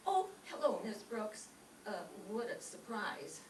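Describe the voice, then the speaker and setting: A woman's voice: mid-range voice, sing-song, unhurried. A woman playing a gushing, sugary romantic rival in a radio comedy. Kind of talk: old-time radio comedy acting. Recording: echoey room, distant mic, faint noise.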